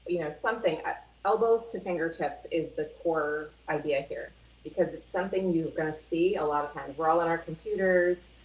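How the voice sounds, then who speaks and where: A woman's voice: low voice, normal speed, hardly any pauses, a little mellow. A middle-aged woman speaking on a video call, explaining in a conversational coaching style. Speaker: guest giving mindfulness advice. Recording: roomy video call with faint hum.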